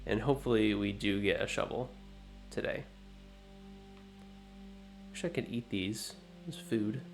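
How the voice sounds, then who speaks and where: Low-voiced man musing through gameplay. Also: creaky, faint music behind. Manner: musing, unhurried, self-talking. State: low morning voice, just woken up. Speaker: let's play host. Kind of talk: thinking-aloud gameplay commentary.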